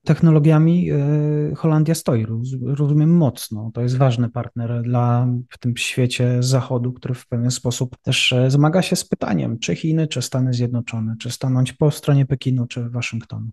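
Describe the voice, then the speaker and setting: A man's voice: medium pitch, steady tone, normal speed, gravelly, few pauses, a little mellow. A man hosting a podcast and putting brief, conversational questions to a guest. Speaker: podcast host. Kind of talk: interview questions.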